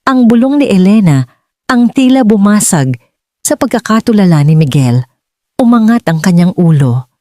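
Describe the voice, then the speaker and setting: Mellow Filipino woman narrating a dramatic story. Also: medium pitch, big pitch swings, moderate pace, no room sound, clean studio sound. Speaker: audiobook narrator.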